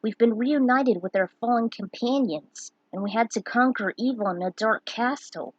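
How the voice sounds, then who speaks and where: A woman's voice: fairly high pitch, normal speed, dry recording, highs cut off, lightly acted. A woman voicing a brave, earnest young male adventurer in a storybook reading. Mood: earnest, proud.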